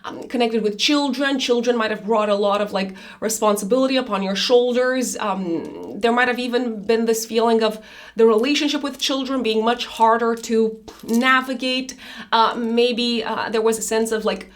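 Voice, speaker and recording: A woman reading a monthly horoscope in a chatty, loose way, her voice raspy after an illness. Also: fairly high pitch, moderate pace. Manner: chatty, loose, with many fillers and restarts. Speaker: astrologer.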